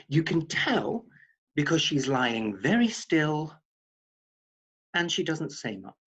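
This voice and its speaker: A flippant hospital doctor acted by a man in a drama read, husky and patronising, with officious self-importance. The sound is dull, as over a video call. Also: fairly high pitch, full character voice. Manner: glib, patronising. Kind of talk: scripted table read.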